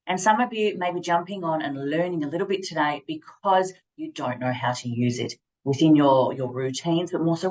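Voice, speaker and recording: Woman, low voice, enthusiastic host, video call. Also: a little mellow, a little hiss or hum, rough recording. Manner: enthusiastic, fast-talking. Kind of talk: hosting a video call interview.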